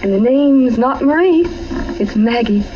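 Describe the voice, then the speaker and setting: An actress playing a composed, affectionate, knowing young wife in 1940s radio drama style. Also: female voice, on the high side, lively intonation, unhurried. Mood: knowing, tender. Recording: muffled, faintly noisy 1940s radio recording.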